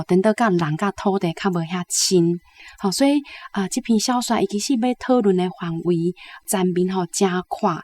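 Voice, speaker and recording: Lower-pitched Taiwanese woman discussing a book. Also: darkish tone. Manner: frequent discourse particles at phrase ends. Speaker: book commentator. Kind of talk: informal explanatory book commentary. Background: music under the voice.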